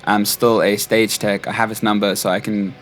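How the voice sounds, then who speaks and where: A man's voice: fairly low pitch, flat delivery, normal speed, soft background music. A man in his twenties with a South African accent, telling personal stories casually as an interview guest. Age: 20s. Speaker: interview guest, stage crew technician. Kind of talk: casual chat, personal anecdotes.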